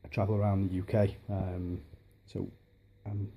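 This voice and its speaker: A man in his thirties from Liverpool, chatting casually on a home vlog with a softened Liverpool accent, rambling with many restarts and ums, filmed on a handheld camera in his dining room. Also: deep voice, level tone, moderate pace, muffled recording, slight background noise. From Liverpool, England. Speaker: hobbyist vlogger. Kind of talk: casual home video chat.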